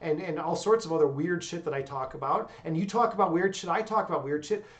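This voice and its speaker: A young man with a fairly high voice, hosting a livestream and chatting loosely with his viewers. He talks in an excitable, rambling way, swears freely and tosses in "dude" and "man" all the time. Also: fast talker. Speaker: livestream host. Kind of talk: casual livestream chat with viewers.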